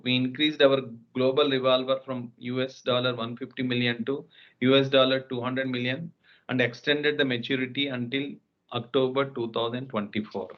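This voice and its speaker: A man giving a formal, scripted financial presentation as a company executive, sometimes halting over the figures. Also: mid-range voice. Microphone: conference call line. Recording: dull, lo-fi conference call audio.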